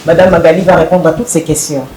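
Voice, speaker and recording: A woman's voice: fairly low pitch, fairly even intonation, quick pace, a little mellow, echoey room, a little hiss or hum, poor audio. A woman hosting a talk show, opening formally and asking questions. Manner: formal welcoming announcer tone. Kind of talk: interview hosting.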